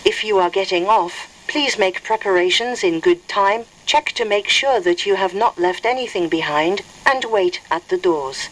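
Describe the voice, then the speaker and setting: Slow, measured woman train announcer. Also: medium pitch, fairly bright tone, low-quality recording. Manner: formal, careful, measured. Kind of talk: scripted station announcement. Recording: train speakers with faint noise. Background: train cabin hum.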